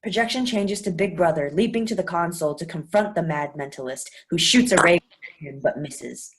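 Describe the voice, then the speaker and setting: A woman with a fairly low voice reads stage directions in a play reading. Her delivery is even and clear, and she takes her time over each line. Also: a little fast. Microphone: video call.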